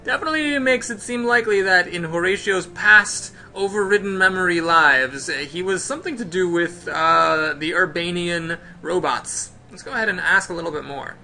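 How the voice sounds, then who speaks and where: A male game host with a bright, forceful voice. He narrates the play-through like a storyteller, stopping to recap and explain in a presenter's manner. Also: sits high, normal speed, hardly any pauses.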